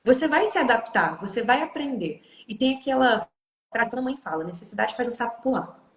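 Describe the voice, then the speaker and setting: A woman's voice: a little high, animated, a little fast. Encouraging Brazilian woman teacher, echoey room. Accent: Brazilian Portuguese. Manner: encouraging, explanatory. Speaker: English teacher, guest. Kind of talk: casual live-stream conversation.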